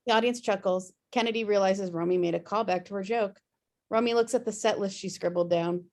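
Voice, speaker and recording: A woman's voice: mid-range voice, brisk, darkish tone. A woman reading screenplay stage directions as scripted narration on a video-call table read.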